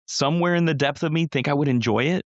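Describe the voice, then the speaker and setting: A man's voice: fairly high pitch, quick pace, dry recording, dull audio. A middle-aged man co-hosting a podcast, chatting casually, rambling with restarts and casual swearing. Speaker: podcast co-host. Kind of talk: casual chat.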